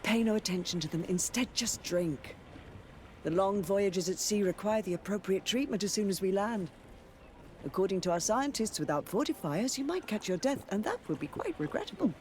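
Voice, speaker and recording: A woman's voice: mid-range voice, expressive, normal speed, a little mellow, a little hiss or hum, lightly acted. An actress playing a courteous, composed noblewoman and official in a video game, speaking formally and politely. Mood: courteous, firm.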